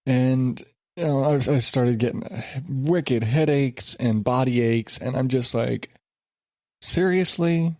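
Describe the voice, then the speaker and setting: A man's voice: mid-range voice, slow, no room sound, studio quality. A man hosting his own podcast, stuffed up from a sinus infection and talking in an exasperated, rambling way with many restarts. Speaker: podcast host. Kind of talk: casual solo podcast.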